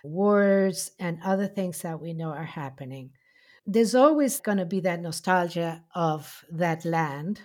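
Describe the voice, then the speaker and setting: A middle-aged woman with an Argentine Spanish accent, telling her life story in a slow, heartfelt way with many pauses. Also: lowish voice, animated, darkish tone. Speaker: interviewee. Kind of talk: reflective personal storytelling.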